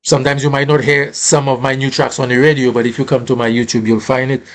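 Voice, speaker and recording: A man's voice: mid-range voice, flat delivery, quick pace. A man with a Saint Lucian accent, a musician talking casually in an interview in Caribbean creole-flavoured English, often tagging phrases with "you know".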